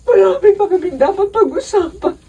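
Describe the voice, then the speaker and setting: A woman's voice: very high-pitched, brisk, creaky, distant mic, dull audio, crowd noise. An actress playing a grief-maddened mother in a radio drama, crying and pleading hysterically. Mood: desperate pleading. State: crying, wailing. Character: grief-maddened mother pleading with her dead man to rise.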